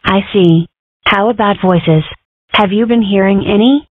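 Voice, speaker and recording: A woman voicing a courteous, reassuring school psychiatrist in a comic strip dub, formal and measured. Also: medium pitch, no echo, close to an everyday voice. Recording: muffled, phone-like narrow sound.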